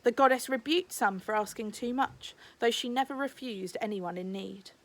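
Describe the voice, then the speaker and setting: A woman's voice: fairly high pitch, normal speed. A woman narrating a children's storybook aloud, like a teacher reading to a class.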